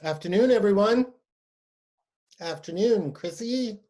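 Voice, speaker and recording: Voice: medium pitch, animated, a little slow, mellow. An actor playing a hurt circus clown who feigns good cheer with brittle sarcasm in a staged play reading. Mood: stiff, hurt.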